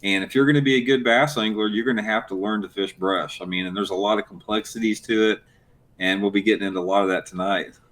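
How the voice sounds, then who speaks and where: A man's voice: on the low side, fairly even intonation, fast, fairly bright tone. A man co-hosting a fishing livestream, laid-back and easygoing, with lots of "you know" fillers, calling himself the old-school angler. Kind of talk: casual livestream talk.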